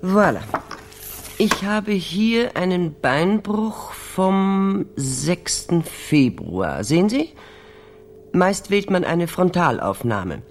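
Mature female doctor, reserved and clinical, slow lilting delivery, radio play, noise behind. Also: on the low side, big pitch swings, exaggerated voice. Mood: formal, guarded. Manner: formal, deliberate, clinical. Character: older female doctor, formal, careful, reserved. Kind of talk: German radio crime drama acting.

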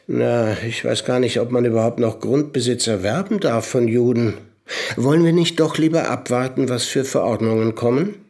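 An actor playing a blustering, greedy house buyer in a radio drama, wheedling and condescendingly jovial. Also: male voice, medium pitch, moderate pace, darkish tone, lightly acted. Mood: wheedling, haggling.

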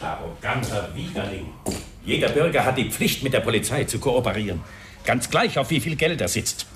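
An actor playing an irascible, grumbling police inspector, gruff and emphatic, in a German radio crime drama. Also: male voice, mid-range voice, very animated, normal speed, music in the background, close to an everyday voice. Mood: indignant.

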